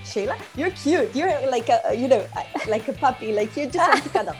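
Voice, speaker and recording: A woman's voice: high voice, animated, brisk, faint music behind. Bubbly woman. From Slovenia. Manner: bubbly, rambling, laughs often. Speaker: guest expert, life coach. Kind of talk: casual podcast chat.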